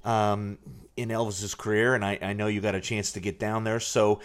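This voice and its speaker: A man co-hosting an interview, casually chatting and asking questions in a halting way. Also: fairly low pitch, level tone. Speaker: interviewer, co-host.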